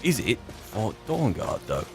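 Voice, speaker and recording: A man with a fairly low voice plays a roleplay game and comments as he goes. He is wry and rambling, thinking aloud over faint music. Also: wildly swooping pitch, takes it slowly. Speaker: gaming video host.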